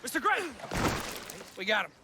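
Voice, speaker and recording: An actor playing a self-important, excitable small-town sheriff from the American South, florid and grandly polite, in a video game. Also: male voice, very high-pitched, moderate pace, background noise. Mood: pompous, genteel. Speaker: lawman. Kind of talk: video game cutscene acting.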